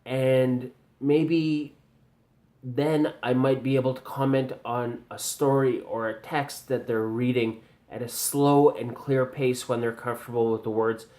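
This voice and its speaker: A man with a Canadian accent giving a measured, teacherly video response on pronunciation, pausing often with ums. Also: mid-range voice, steady tone, darkish tone. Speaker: video commentator reviewing pronunciation. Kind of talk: slow spoken video response.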